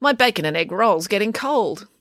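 A woman's voice: mid-range voice, animated, normal speed, a bit bright, no room sound, clean studio sound, pitched above the performer's own voice. A woman voicing a preening, nosy old grandmother in a storybook, given to grumbling.

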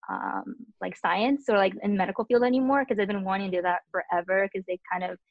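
A woman's voice: mid-range voice, steady tone, fast, creaky, dry recording, lo-fi sound. A young woman talking casually on a podcast, chatty, with frequent fillers. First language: Cantonese and English. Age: young adult.

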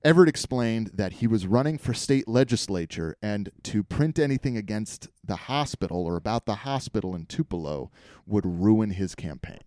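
A man's voice: on the low side, lively intonation, normal speed, a little mellow, no echo, studio quality. A man hosting a podcast, telling a comedic story in a wry, irreverent way. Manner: wry, irreverent, swears casually.

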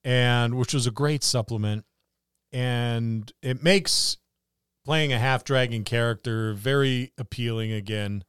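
A man's voice: medium pitch, slow, fairly bright tone, smooth, no room sound, clean studio sound. A man co-hosting a podcast and chatting casually about tabletop games. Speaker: podcast co-host. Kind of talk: casual tabletop gaming discussion.

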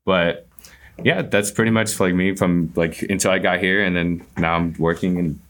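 Very deep-voiced young man chatting casually. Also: fairly even intonation, moderate pace, a bit bright. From southwestern United States. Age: 20s. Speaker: podcast guest, entrepreneur. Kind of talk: casual chat.

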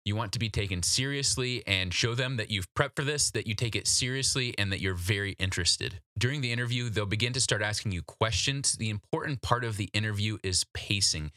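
A man's voice: fairly low pitch, brisk, few pauses, sharp tone, no room sound, studio quality. A man hosting an instructional solo podcast, coaching the listener in a direct, practical, conversational way. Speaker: podcast host giving job interview advice.

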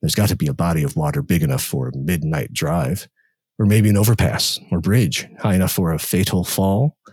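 A cold, insinuating inner voice, put on by a man reading his story. It speaks low and slow, with a husky grain in a dry, close sound. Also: darkish tone, no room sound. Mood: coaxing. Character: sly low male inner voice, coaxing and cold.

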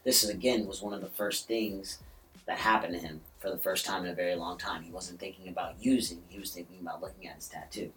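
A man's voice: low voice, steady tone, fast talker, fairly bright tone. A man co-hosting a podcast, retelling a news story in a rambling, casual way with lots of ums. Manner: rambling, with many ums and "basically". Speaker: podcast co-host. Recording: roomy echo, distant from the mic.

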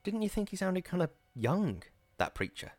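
A man's voice: high voice, very animated, normal speed, a little mellow, no echo, voice pushed up. A man doing an uneasy young husband.

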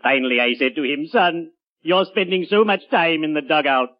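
A man's voice: on the high side, normal speed, close to an everyday voice. Man playing a genteel, morbid undertaker, muffled recording. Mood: drily amused. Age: middle-aged. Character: genteel, morbidly jolly undertaker, fond of puns. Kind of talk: 1940s radio comedy acting. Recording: dull vintage 1940s radio recording.

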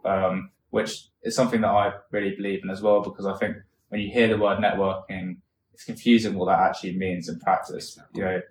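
A man hosting a podcast, asking long, relaxed, rambling questions. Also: fairly low pitch. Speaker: podcast host. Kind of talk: casual podcast interview. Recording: roomy echo, faint room noise.

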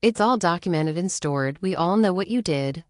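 A woman playing a firm, guarded woman who refuses to be bullied, curt and measured in a dramatic reading. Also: lowish voice, lively intonation, dry recording, studio quality, natural voice. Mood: weary, firm.

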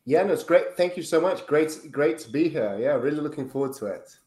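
A man's voice: a little high, animated, brisk, a little mellow. A Brazilian-born man, a podcast guest chatting casually with lots of ums and tag questions. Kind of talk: casual interview chat. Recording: roomy echo.

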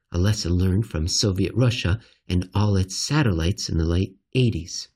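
Guy reading an opinion piece out loud, slow and a bit raspy, mid pitch, punches the key words. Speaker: reader narrating an economics commentary article. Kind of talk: scripted read-aloud commentary.

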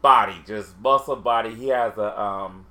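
A man's voice: on the low side, normal speed, pushed and bright. Hesitant man in casual chat. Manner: hesitant, lots of fillers and restarts.

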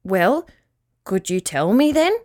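A woman voicing a friendly old male dog in a children's story, speaking gently, politely and reassuringly. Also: mid-range voice, sing-song, slow pace. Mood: surprised, polite.

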